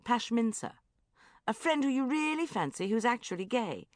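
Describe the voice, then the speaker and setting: A woman doing an excitable theatrical male friend. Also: fairly high pitch, moderate pace, no room sound, studio quality, voice pushed up. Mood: excited. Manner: excited, emphatic.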